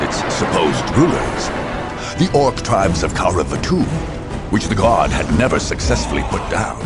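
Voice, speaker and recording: A man delivers grave, theatrical scripted narration in the portentous style of a video game cutscene. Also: fairly low pitch. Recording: loud music under the voice. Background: loud music.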